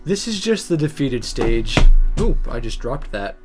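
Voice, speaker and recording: A woman let's player narrates a visual novel in the first person. Her reading is dramatic and introspective with lively pitch movement, and soft music runs behind her. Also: measured pace.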